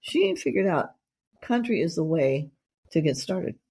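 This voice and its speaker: A woman's voice: low voice, big pitch swings, a little slow, vocal fry, dark tone, breathy edge. A middle-aged woman hosting a casual interview, chatty and effusive, rambling from one thought to the next. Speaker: podcast host.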